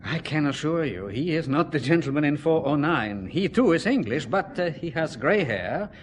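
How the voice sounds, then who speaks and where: An actor playing a formal, polite hotel receptionist with a German accent in an audio drama. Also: male voice, on the high side, expressive, moderate pace, a little hiss or hum, natural voice. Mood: formal, helpful.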